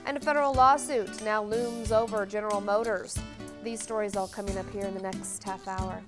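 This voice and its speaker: A woman's voice: mid-range voice, fairly even intonation, normal speed, over music. An American woman anchoring a morning newscast, reading scripted news in a TV studio.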